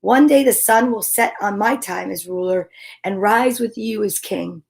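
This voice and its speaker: Lion king, performed by a woman reader: stern father, regal, solemn, deliberate delivery for a children's tale. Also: medium pitch, creaky, darkish tone, husky.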